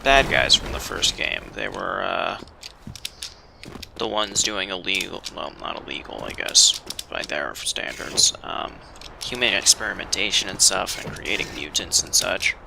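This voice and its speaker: A man's voice: sits low, unhurried, gravelly, background noise. A man casually commentating a video game as he plays, thinking aloud and swearing mildly. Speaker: let's player. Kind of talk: casual blind let's play commentary.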